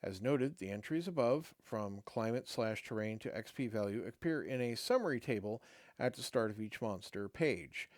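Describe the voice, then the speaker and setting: A man's voice: mid-range voice, a little mellow, no room sound. Man losing his voice, dry close sound. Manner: halting, many fillers and restarts between read passages. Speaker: host reading a game rulebook. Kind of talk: reading aloud with casual asides.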